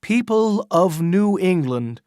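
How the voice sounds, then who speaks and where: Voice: mid-range voice, slow, no room sound, clean studio sound, lightly acted. A storyteller voicing a dying young male minister who speaks in a solemn, declaiming way. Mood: proclaiming.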